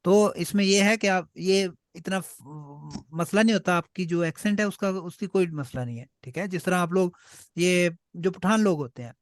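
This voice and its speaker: A man's voice: high voice, moderate pace, dry recording. A man whose first language is Punjabi, teaching German to beginners in a patient way and checking often that the class follows. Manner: patient, repeats "ठीक है" often. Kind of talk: online class teaching in Hindi-Urdu.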